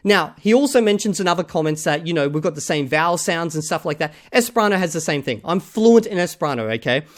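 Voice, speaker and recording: A man hosting a reaction video, talking casually and skeptically, with emphatic outbursts and low asides. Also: expressive, quick pace. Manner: skeptical, emphatic, swings between loud outbursts and low mutters.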